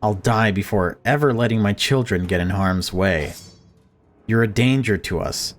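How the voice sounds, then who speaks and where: A man's voice: fairly low pitch, moderate pace, faint music behind, close to an everyday voice. A man voicing a protective mother who commands her family in a horror visual novel. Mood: threatening, fierce. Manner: stern, commanding.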